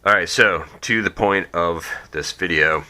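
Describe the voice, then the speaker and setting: A man with a cold, sniffly and croaky, casually explaining a drone build at his workbench, rambling with many ums and restarts. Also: deep voice, measured pace, pushed and bright. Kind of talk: casual how-to build video.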